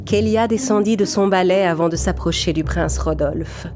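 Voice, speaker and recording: A woman's voice: on the low side, moderate pace, over music. A woman narrator telling a children's fairy tale in a scripted storytelling style.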